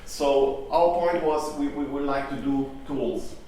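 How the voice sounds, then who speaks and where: A man's voice: medium pitch, steady tone, takes it slowly, mellow, slight background noise. A middle-aged man giving a live conference talk, hesitant and full of ums and restarts. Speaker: conference speaker. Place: conference hall. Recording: echoey hall from far off.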